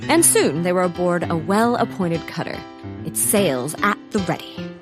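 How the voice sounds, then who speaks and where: Female storyteller played by a woman, lowish register, scripted adventure narration over music. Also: animated, normal speed, natural voice. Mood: storytelling.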